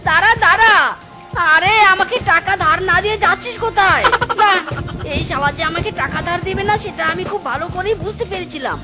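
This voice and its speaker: A woman's voice: very high voice, fast, loud music behind the voice. A woman voicing a lazy, henpecked husband who whines and pleads melodramatically in a comic cartoon. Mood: pleading, whining. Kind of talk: exaggerated comic cartoon dubbing.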